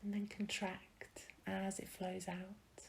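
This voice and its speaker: A woman leading a guided meditation in a soft, breathy, soothing manner with gentle pauses. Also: medium pitch, monotone, a little hiss or hum.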